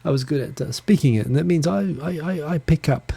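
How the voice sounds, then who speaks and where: Man, New Zealand accent, dark mellow tone, rambling self-deprecating chat, close handheld mic. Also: fairly high pitch, vocal fry, breathy edge. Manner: rambling, self-deprecating, talks fast with restarts. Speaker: livestream host. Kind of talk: casual solo live chat. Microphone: handheld USB recorder mic close. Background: computer fan hum.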